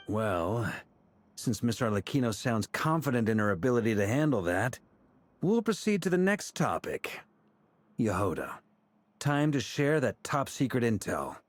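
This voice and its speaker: An actor playing an easygoing, shrewd knight commander in a video game, talking in a wry, casual way. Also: male voice, fairly low pitch, very animated, takes it slowly, long pauses, no room sound, heavily acted. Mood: curious, easygoing. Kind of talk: video game character acting. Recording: faint background music.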